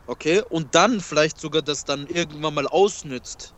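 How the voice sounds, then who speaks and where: A man's voice: a little high, normal speed, fairly bright tone. A man whose first language is Kurdish, talking emphatically and repeating himself in a casual spiritual conversation.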